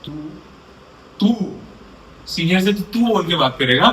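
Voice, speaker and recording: A domineering young college senior, played by a young man fires off orders in a rapid, bright, sharp voice. He talks down to juniors with rude authority. The room is echoey with soft music behind. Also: sits high, expressive, a little hiss or hum. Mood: bullying. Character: bossy young male college senior, arrogant.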